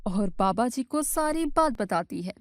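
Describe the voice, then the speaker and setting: A woman narrates a scripted storybook tale as its narrator. Her voice sits a little high, and the sound is very dry and close, with no room around it. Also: lively intonation, measured pace, dry recording.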